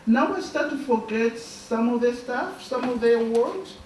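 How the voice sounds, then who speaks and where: A young woman from Burundi whose first language is Swahili, speaking as a guest and answering audience questions in a soft, halting way, often laughing. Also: on the high side, animated, slight background noise. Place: school hall. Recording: echoey hall, distant mic.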